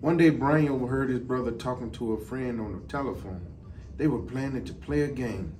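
A man's voice: medium pitch, brisk, darkish tone. Middle-aged man reading aloud, slightly distant. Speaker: deputy fire chief. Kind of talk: children's storybook reading. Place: indoor office, seated. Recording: distant mic, some background noise.

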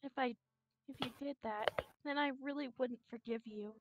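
A woman voicing an anxious, sad teenage anime girl from a visual novel, halting and timid. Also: high-pitched, fairly even intonation, noisy background, lightly acted. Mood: tearful, pleading.

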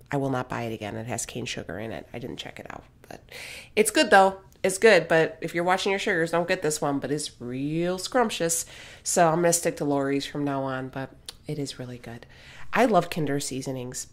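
A woman in her thirties narrating her home cooking in a casual, blunt, joking way, often checking in with "okay?". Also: low voice. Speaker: vlogger.

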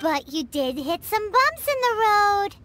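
A woman voicing a tiny, cheeky, excitable fairy girl with a squeaky childlike voice in a video game. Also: measured pace, heavily acted. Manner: refers to self by name, excitable. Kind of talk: English game dub acting.

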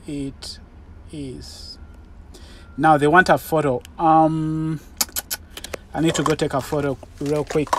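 Higher-voiced man, unhurried trucker vlog. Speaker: truck driver vlogger. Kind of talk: casual vlog walkthrough, thinking aloud. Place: truck cab and outdoor rail yard. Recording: background vehicle and ambient noise.

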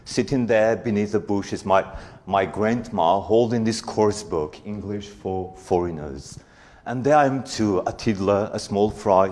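Man in his 40s talking at a conference, very deep voice, lots of uh and restarts. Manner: hesitant, many fillers and restarts. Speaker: conference speaker. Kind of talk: conference talk. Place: conference hall with audience.

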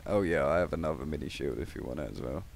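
A man's voice: extremely low pitch, quick pace, creaky, slight background noise. A man streaming a game, chatting casually with friends and asking viewers for likes. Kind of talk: casual gaming chat.

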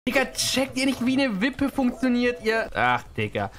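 Animated, excitable young male gamer. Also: very high voice, normal speed. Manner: excitable, slangy, swearing complainer. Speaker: gaming video host. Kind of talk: casual gameplay commentary with banter. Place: indoors at a gaming setup.

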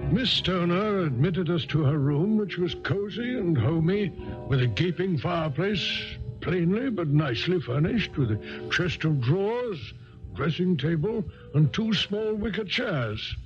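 An actor playing an earnest gentleman companion to a detective, performing and narrating in a 1970s radio drama. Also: high voice, lively intonation, measured pace. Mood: measured storytelling. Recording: dull 1970s radio recording, music behind.

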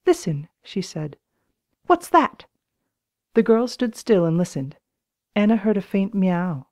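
A woman's voice: mid-range voice, big pitch swings, a little slow, no echo, clean studio sound. A woman reading an audiobook dramatically, acting out the character lines. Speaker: audiobook co-reader.

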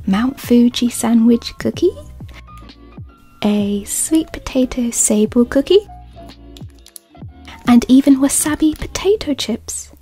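A woman reading a sponsor segment in a soft, partly whispered ASMR style. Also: fairly high pitch, expressive, slow, long pauses, soft background music. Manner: gentle, partly whispered, with soft tasting sounds. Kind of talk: soft-spoken ASMR ad read.

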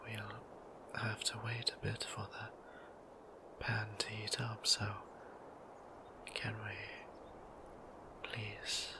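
A man's voice: fairly low pitch, completely flat delivery. Man playing a whispering, shy vampire priest. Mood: breathless longing. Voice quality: breathy whisper, creaky. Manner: hesitant, halting, gently formal. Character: shy, devout male vampire priest, tender lover. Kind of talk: ASMR roleplay.

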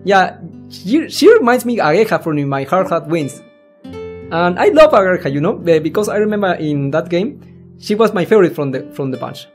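Lively let's-player with music behind. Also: medium pitch, normal speed. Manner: giggly, interjects own reactions. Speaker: let's-play host. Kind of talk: reading game text aloud with casual commentary. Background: game music with plucked guitar.

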